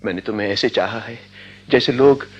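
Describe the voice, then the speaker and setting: Muffled, breathy young man in love acted out. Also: lowish voice, normal speed, lightly acted. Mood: ardent, tender. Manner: poetic, intense, breathy declarations. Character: earnest poor young student, passionately in love. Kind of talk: Hindi radio drama acting.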